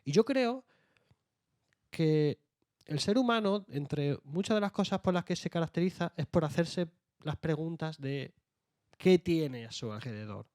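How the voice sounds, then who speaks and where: A man's voice: on the high side, lively intonation, measured pace, darkish tone, no echo, studio quality. A young man from Jaén with an Andalusian accent, speaking as an author on a panel, hesitant with many fillers and restarts. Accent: Andalusian Spanish. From Jaén, Spain. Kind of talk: round-table discussion.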